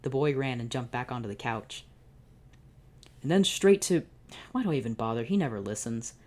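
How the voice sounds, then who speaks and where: Low-voiced woman reading with sarcastic asides. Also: expressive, moderate pace, darkish tone. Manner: exasperated, sarcastic commentary. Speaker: YouTuber reading fan fiction. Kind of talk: dramatic reading with comic asides.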